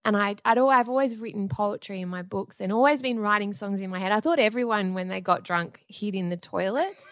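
A woman's voice: mid-range voice, fairly quick, few pauses, no room sound, studio quality. A woman sharing a heartfelt personal story candidly in a conversation. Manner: candid, heartfelt, animated in telling.

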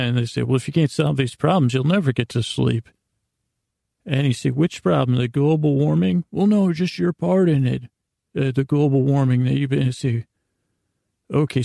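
Creaky, mellow man rambling at bedtime. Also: medium pitch, no room sound, studio quality. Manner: halting, self-deprecating, with long pondering pauses. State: tired, worn down. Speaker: podcast host and bedtime storyteller. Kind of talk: rambling, meandering bedtime podcast monologue.

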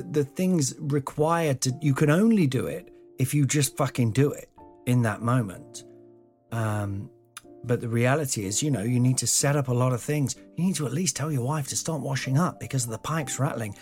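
A man hosting a solo podcast in a room of an old house, slightly croaky, talking in a meandering, self-deprecating way with some swearing, into a mic without a pop shield. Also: mid-range voice, normal speed, a little mellow, soft background music. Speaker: podcast host. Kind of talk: rambling solo podcast monologue. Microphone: home podcast mic without a pop shield.

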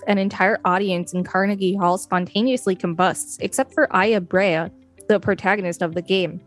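A woman reads an encyclopedia article aloud as a co-host, in a medium-pitched voice. Her delivery is informative narration, with faint music underneath.

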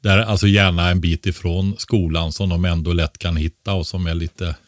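A man with a low voice, recorded close and dry, speaks as an expert on a podcast. He explains things in a casual, conversational way and often ends a point with a short questioning tag. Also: little pitch movement, no echo. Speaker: expert podcast co-host giving preparedness advice.

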